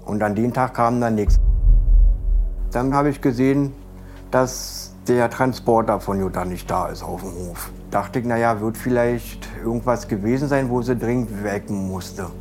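A man's voice: medium pitch, unhurried, darkish tone, faint music behind. A man giving a recollection in a documentary interview as a witness. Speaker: interviewee, neighbour of the victim.

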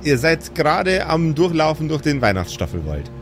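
A man's voice: fairly high pitch, big pitch swings, moderate pace, faint music behind. A man improvising narration as game master of a fantasy tabletop audio play, drawing out his scene descriptions suspensefully.

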